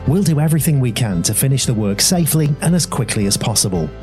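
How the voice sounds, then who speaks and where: A man's voice: mid-range voice, lively intonation, quick pace, darkish tone, faint music behind. A man reading a scripted radio advert as a friendly, apologetic public notice. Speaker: advert voice-over.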